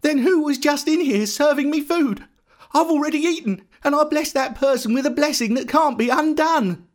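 Elderly father, played by a male storyteller, high quavering voice, suspicious, grave, lively swoops, storybook. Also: moderate pace. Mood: spooked, trembling. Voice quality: quavering when upset.

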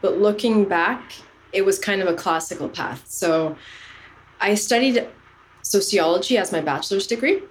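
A woman's voice: medium pitch, normal speed, a little hiss or hum, low-quality recording. A woman in her thirties, raised in Canada, earnestly telling the story of her career as a podcast guest. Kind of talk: casual interview chat.

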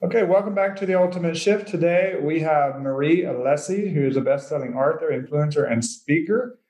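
A man's voice: a little high, a little fast, darkish tone, soft background music. A man hosting an interview show, asking friendly questions that ramble and restart. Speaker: podcast host. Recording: slightly dull, lo-fi.

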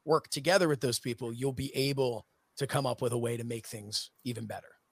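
A man's voice: medium pitch, fairly even intonation, a little fast, slightly rough, no echo. A man in his late thirties, a guest on a casual podcast, explaining his plans earnestly and at length with many "you know"s. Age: 30s. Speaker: guest, streaming network executive.

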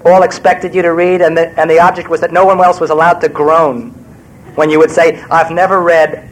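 An older New man with a slightly raised pitch talks through his craft in a wry, meandering way. He pokes fun at himself as he digresses. A faint low rumble sits under his voice. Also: little pitch movement, brisk, poor audio. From New York. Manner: wry, digressive, self-deprecating. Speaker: screenwriter guest speaker. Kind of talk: Q&A talk to an audience.

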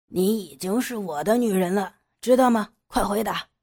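A woman voicing a forceful, gloating adult man in a lowered, breathy voice. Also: normal speed, natural voice. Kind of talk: audio drama reading.

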